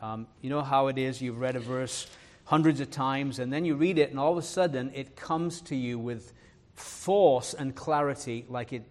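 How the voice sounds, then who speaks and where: A man preaching a sermon in an English accent from Leeds, mixed with Irish and American traces. He reads scripture slowly and with weight, and often pauses on "uh" and "um". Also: medium pitch. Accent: Leeds English, touched by Irish and American. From northern England. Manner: earnest, fills pauses with "uh" and "um".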